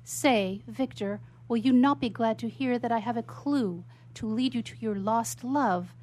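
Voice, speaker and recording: An actress plays a tender young woman fretting over her brother in a radio theatre melodrama. Her voice is slightly high. She speaks with formal, refined phrasing and a solicitous, pleading manner. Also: a little slow, a little mellow. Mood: gentle, hopeful. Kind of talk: melodramatic 19th-century stage acting in radio theatre.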